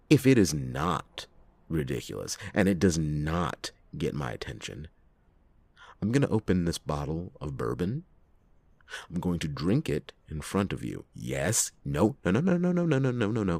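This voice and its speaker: A man playing a cynical, reluctant, hard-drinking private detective in a noir ASMR roleplay, with a husky, gravelly voice and sardonic, theatrical delivery. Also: low-pitched, no room sound, full character voice. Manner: sardonic, theatrical, halting speech with drawn-out emphasis. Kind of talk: ASMR detective roleplay, acted monologue to listener.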